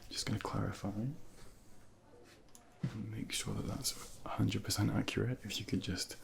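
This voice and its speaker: A man softly whispering a medical ASMR role play as a junior doctor, gently reassuring and instructing, close to a binaural microphone. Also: on the low side, monotone, moderate pace, a little hiss or hum. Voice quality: breathy whispering, gravelly.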